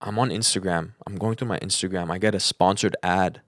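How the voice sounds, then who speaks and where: A man's voice: deep voice, fairly even intonation, a little fast, a bit bright, no room sound, clean studio sound. A man talking casually and at length as a podcast co-host, in a chatty, rambling storytelling way. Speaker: podcast co-host, audio engineer.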